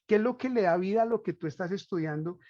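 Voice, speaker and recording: A man's voice: high-pitched, fast, warm tone. A man giving a reflective, didactic lecture as a panel speaker, asking rhetorical questions and repeating key phrases. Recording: roomy echo, dull lo-fi sound.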